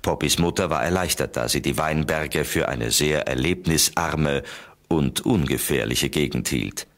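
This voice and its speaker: Deep-voiced male storyteller. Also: a little slow, a bit bright. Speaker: narrator. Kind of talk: scripted children's story narration.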